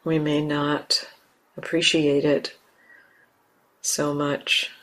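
A woman teaching guided meditation, speaking gently and unhurriedly in short phrases with long pauses. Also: deep voice, monotone, low-quality recording. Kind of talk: guided meditation, unscripted instruction.

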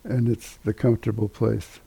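An older man giving guided meditation instruction as a teacher, unhurried and halting, with long pauses. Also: lowish voice, little pitch movement, vocal fry, mellow, breathy edge, rough recording.

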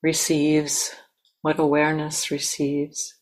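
A woman meditation teacher leading a guided meditation in a gentle, unhurried manner with long pauses. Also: low voice, completely flat delivery.

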